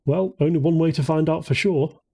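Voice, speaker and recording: Man acting confident, easygoing male friend, husky. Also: fairly high pitch, a little fast, mellow, voice pushed up. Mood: decisive. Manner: charming, nonchalant.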